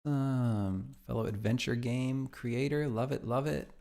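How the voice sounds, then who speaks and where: A man's voice: mid-range voice, measured pace, vocal fry, mellow. A man streaming his game development live, chatting casually, thinking aloud and reacting to viewer chat.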